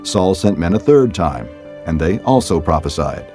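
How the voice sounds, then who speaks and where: A man narrates a scripture story in a very low, mellow voice. His delivery is measured and scripted, like audiobook storytelling, with faint music behind him. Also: sing-song.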